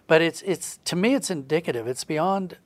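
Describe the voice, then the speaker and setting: A man's voice: a little high, normal speed, no echo. A man talking conversationally in an interview as a storyteller, explaining things in a reflective manner. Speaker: guest storyteller, audio drama writer.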